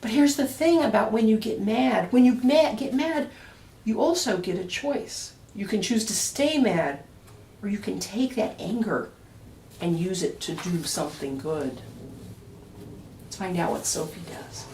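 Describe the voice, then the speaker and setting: A woman reading a rhyming picture book aloud for children, expressively, with dramatic emphasis and deliberate pauses. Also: mid-range voice, expressive, darkish tone, slight background noise. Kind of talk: expressive storytime read-aloud of rhyming verse. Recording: roomy echo, distant mic.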